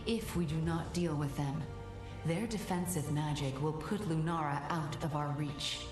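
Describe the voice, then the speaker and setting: An actress playing a composed, stern mystical guide in a fantasy video game, speaking in a measured, formal way. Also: female voice, low-pitched, close to an everyday voice. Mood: firm briefing. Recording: echoey room, music behind.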